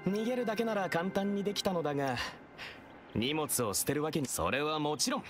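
Man playing a guarded courier, fairly high voice. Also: soft background music, close to an everyday voice. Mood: guarded, evasive. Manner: halting, guarded. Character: stern, evasive male courier, grateful but guarded. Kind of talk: Japanese game voice acting.

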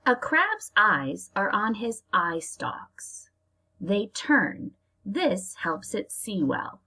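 An American woman teacher reading aloud to young children and explaining as she goes, in a deliberate, expressive manner. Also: medium pitch, breathy edge. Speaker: elementary school teacher reading aloud to pupils.

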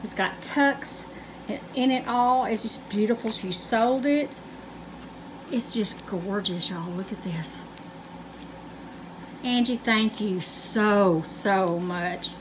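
Lady vlogging on her phone in the car, chatty, clear road noise under her. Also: mid-range voice, slow, pauses often. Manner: chatty, addresses viewers as "y'all", gushing. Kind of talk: casual vlog chat. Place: car interior, then at home.

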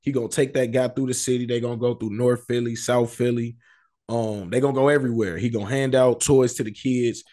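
A man's voice: mid-range voice, steady tone, brisk, dark tone. A man, sounding middle-aged, in casual, slangy street news commentary with profanity, often checking that the listener follows. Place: indoor room, video call.

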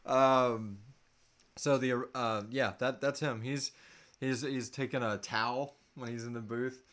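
A man in his thirties hosts a live Q&A in a mid-pitched voice. He talks casually and off the cuff, with a joking, playful manner, picked up by a computer mic in a small room. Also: fairly even intonation, measured pace, a bit bright. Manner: jokey, playful. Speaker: host of a live Q&A show. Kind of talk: casual off-the-cuff livestream chat. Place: small room at home, indoors.

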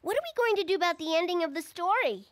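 Eager cartoon bunny girl, very high, bright, animated, agreeable best friend. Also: fast, no echo, studio quality. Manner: enthusiastic, agreeable. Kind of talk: children's cartoon voice acting.

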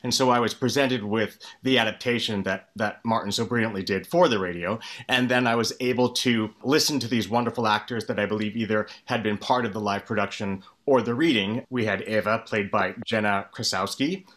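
A man's voice: lowish voice, brisk, few pauses, fairly bright tone. A man talking reflectively as a director on a video-call panel, indoors.

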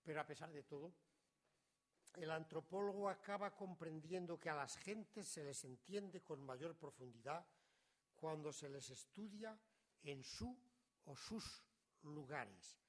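Husky middle-aged academic lecturer. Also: male voice, on the high side, a little slow. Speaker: conference speaker. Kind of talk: reading a prepared academic lecture.

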